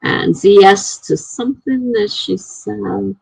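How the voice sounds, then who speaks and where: A woman's voice: medium pitch, slow pace, vocal fry, a little mellow, breathy edge, no echo, rough recording. A woman hosting a live stream, reading viewer comments aloud in a chatty way and laughing often.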